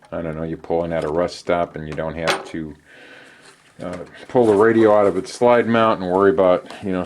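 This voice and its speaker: A middle-aged man with a low-pitched voice walks through a do-it-yourself demonstration. He talks casually and takes his time, often pausing to find the next word. There is noticeable background noise on the recording. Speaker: DIY channel host. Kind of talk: casual hands-on how-to demonstration.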